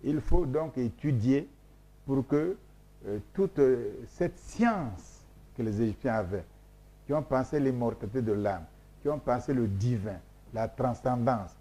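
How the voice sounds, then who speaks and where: A man's voice: medium pitch, animated, takes it slowly, dark tone. A man whose first language is Mboshi, from Congo, explaining his research in an interview in an emphatic, repetitive, lecturing way with frequent hesitations. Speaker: scholar being interviewed.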